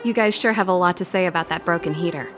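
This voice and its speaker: A woman's voice: lowish voice, quick pace, over music, lightly acted. Breezy, cool woman mechanic voiced by an actress. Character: cool, easygoing female repair workshop owner. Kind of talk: video game voice acting.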